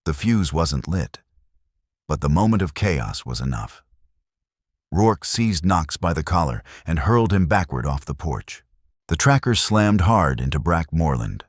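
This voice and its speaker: A man's voice: very deep voice, no echo, studio quality. A man narrating a dramatic scripted Western story.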